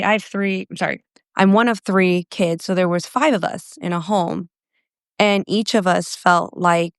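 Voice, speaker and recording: A woman in her thirties from Phoenix, a podcast guest telling her life story in an earnest, halting way, often correcting herself. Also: lowish voice, clean tone, dry recording, studio quality. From Phoenix, Arizona, USA. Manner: earnest, halting, with self-corrections and soft laughs. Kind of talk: personal testimony in an interview.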